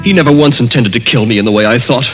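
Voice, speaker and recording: A man's voice: medium pitch, very fast, loud music behind the voice, close to an everyday voice. An actor playing a hunted, fatalistic young man in an earnest, dramatic 1940s radio mystery style. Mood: despairing. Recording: vintage 1940s radio recording.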